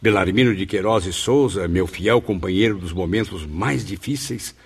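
A man's voice: low voice, normal speed, slightly rough. An actor playing a humble, remorseful male spirit in a Brazilian radio drama, speaking formally and earnestly with a plaintive Brazilian delivery. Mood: sorrowful tenderness. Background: music.

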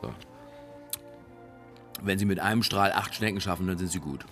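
An actor in a radio play playing a crude, jovial politician who rambles and boasts. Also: male voice, sits low, moderate pace, a bit bright, faint music behind. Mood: boastful, rambling. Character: crude, chatty male politician boasting of boyhood games. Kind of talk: naturalistic radio drama acting.

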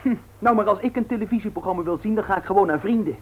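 An actor playing an indignant, sarcastic teenage schoolboy in a theatrical, mocking way, in a 1960s radio play. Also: male voice, high voice, racing. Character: sarcastic teenage schoolboy son, indignant about his old-fashioned family. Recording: narrow, tinny 1960s radio recording.

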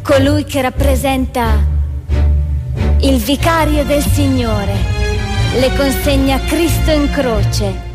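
High-voiced woman narrating theatrically over music. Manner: formal, measured, declamatory. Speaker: stage actress narrating.

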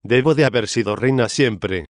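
Un hombre que pone voz al espíritu de una reina difunta, orgullosa y desdeñosa, que responde con altivez. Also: male voice, medium pitch, normal speed, no room sound, clean studio sound, natural voice. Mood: haughty. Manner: disdainful, imperious. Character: haughty dead queen's spirit, woman, proud, scornful.

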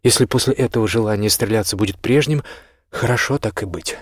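A man's voice: on the low side, a little fast, slightly rough, no room sound, clean studio sound. A man voicing a firm, frank marquis in an audiobook, speaking sternly and insistently as a concerned friend.

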